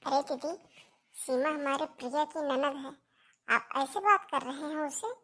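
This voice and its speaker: Woman acting high-voiced, gentle female relative. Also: measured pace. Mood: concerned. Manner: gentle, concerned, polite. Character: kind, reasoning female relative defending the widow. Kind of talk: Hindi cartoon family drama acting.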